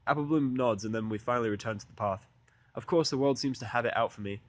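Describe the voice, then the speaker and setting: A man's voice: medium pitch, dry recording. A man reading a first-person story as an amateur audiobook narrator, in a casual, sardonic style.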